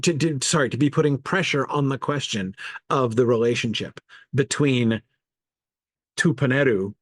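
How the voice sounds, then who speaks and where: A man's voice: mid-range voice, dry recording. A man with a mid-range voice talks through ideas like a teacher in an informal online study group. He hesitates, corrects himself and leans hard on key words, checking in as he goes. The sound is dry, with very little room in it. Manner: hesitant, self-correcting, thinking aloud. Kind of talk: informal lecture with discussion of viewer comments.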